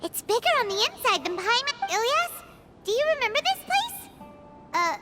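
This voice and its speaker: A woman voicing a tiny, childlike, perky and curious female fairy companion in an anime game, with a squeaky voice. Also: very animated, moderate pace. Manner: refers to self in third person, exclaiming. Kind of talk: English anime game voice acting. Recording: music behind the voice.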